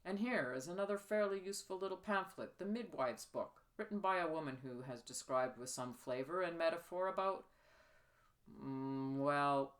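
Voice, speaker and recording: A woman voicing a worldly, earnest Regency gentleman in an audiobook, explaining things in a coaxing way. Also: low-pitched, normal speed, voice pushed up, lightly acted. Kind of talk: literary audiobook character reading.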